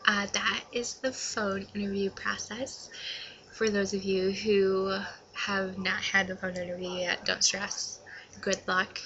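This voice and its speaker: A young woman chats casually to her viewers in a very bright, forward voice. Her pace is a little slow and her delivery rambles informally, with some self-consciousness. The recording is dull and lo-fi. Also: medium pitch, level tone, slight background noise. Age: young adult. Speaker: vlogger. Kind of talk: casual advice vlog to camera.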